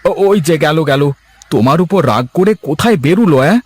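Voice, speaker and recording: A man's voice: on the high side, lively intonation, quick pace, breathy edge. A man voicing a poor, humble village father in a cartoon fairy tale, hesitant and deferential. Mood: worried.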